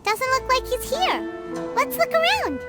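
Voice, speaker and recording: A woman voicing a small, excitable fairy companion girl, with a high, chirpy, childlike voice and curious, exclamatory chatter. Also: measured pace, full character voice. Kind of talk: game voice acting. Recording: game dialogue with soft background music.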